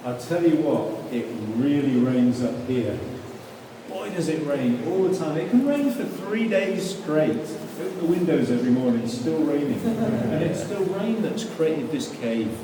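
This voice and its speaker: A man's voice: on the high side, lively intonation, measured pace, a crowd in the background. A man guiding a group through a cave, chatting easily and asking the visitors questions as he explains. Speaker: cave tour guide. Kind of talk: guided tour talk to a group. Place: inside a limestone show cave. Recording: echoey cave, distant camera mic, noisy.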